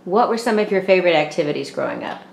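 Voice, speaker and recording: A woman's voice: lowish voice, expressive, fast talker, vocal fry. A woman interviewing for an oral history project, asking plain questions and murmuring short acknowledgements. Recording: echoey room with faint noise.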